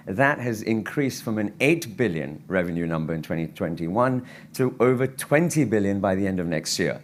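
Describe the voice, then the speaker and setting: A man speaking as a physician at a conference lecture, his voice croaky from travel, earnest and given to restarts and fillers. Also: low voice. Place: conference hall.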